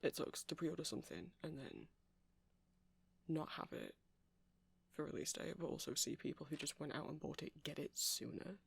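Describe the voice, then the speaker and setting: A man's voice: a little high, sing-song, normal speed, gravelly, slightly rough, no room sound, full character voice. A man playing an awkward, rambling vampire music shop owner who stammers and hesitates in a casual ASMR roleplay. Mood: exasperated, defensive. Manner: hesitant, stammering, apologetic, nervously chuckling.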